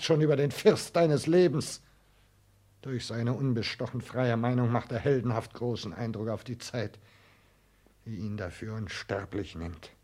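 A slightly husky actor's voice of an ambitious household secretary, played by a man. He speaks in a formal, measured way with clipped, guarded replies, in the manner of 1960s German radio drama. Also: mid-range voice, darkish tone, close to an everyday voice.

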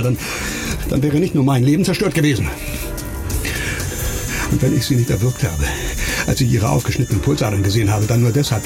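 An actor playing a disgraced doctor fresh out of prison in a radio crime drama, sardonic, blunt and intense. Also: male voice, medium pitch. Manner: probing, blunt, mixing wry irony with exasperation.

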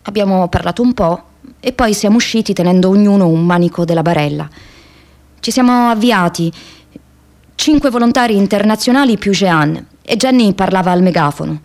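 A woman's voice: mid-range voice, brisk, darkish tone, natural voice. An actress playing an idealistic young American woman activist in a radio theatre monologue, telling her diary expressively in the first person. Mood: grave recounting. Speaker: stage actress.

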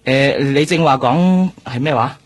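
An actor playing a reassuring, casually teasing doctor in a Cantonese radio drama, with a husky voice and a Hong Kong accent. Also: male voice, medium pitch, a little slow, no room sound. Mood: reassuring, attentive.

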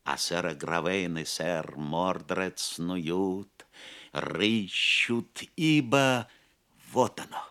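A man narrating an audio play as a dramatic storyteller, theatrical and measured. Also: deep voice, sharp tone, smooth.

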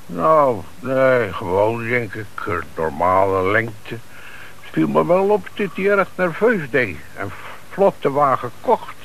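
A man's voice: medium pitch, big pitch swings, slow, vocal fry, a bit bright, exaggerated voice. An actor in a Dutch radio play playing a shifty small-time crook who deals in cars, speaking hesitant, slangy street talk and hedging his answers. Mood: evasive. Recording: noisy old radio play recording.

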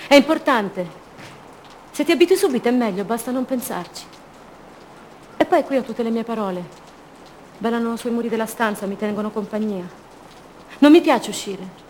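A woman's voice: on the high side, animated, moderate pace, pauses often, a little mellow, a little hiss or hum, close to an everyday voice, poor audio. An actress playing a fragile, haunted captive woman in a radio drama, speaking in short fragments and childlike repetitions. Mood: withdrawn, pained. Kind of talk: theatrical monologue, radio drama.

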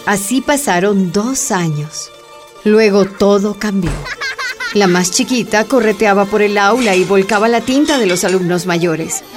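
A woman's voice: mid-range voice, measured pace, music in the background. A woman narrating a scripted radio drama as storyteller.

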